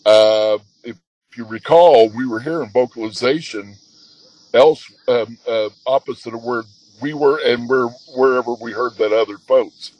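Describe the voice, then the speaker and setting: A man guest telling his story in a halting way with many fillers, a man with a booming voice. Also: darkish tone, no room sound, muffled recording. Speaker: guest, expedition leader. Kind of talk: casual storytelling.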